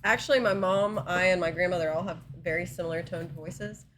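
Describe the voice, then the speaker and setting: A woman co-hosting a podcast, with a raspy, rather deep voice, telling stories in a giggly, animated way. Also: brisk, background noise. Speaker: podcast co-host. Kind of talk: casual chat.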